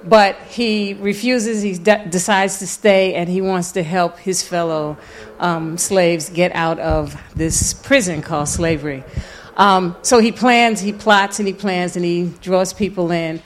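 Female lecturer, slightly low register, informal and emphatic, leaning on key words. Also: a little slow, a little hiss or hum. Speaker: lecturer and author. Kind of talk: informal lecture with audio clips.

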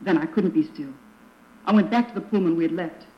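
A woman's voice: fairly low pitch, fairly quick, natural voice. Actress as a young girl narrating, echoey old radio drama. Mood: solemn, remembering. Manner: earnest, dramatic storytelling. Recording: echoey, muffled, noisy old radio recording.

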